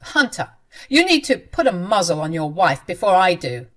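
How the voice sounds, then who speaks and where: Sharp, indignant mother-in-law, voiced by a woman storyteller, raised and pushy. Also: fairly low pitch, very animated, moderate pace. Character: spiteful middle-aged mother-in-law, woman.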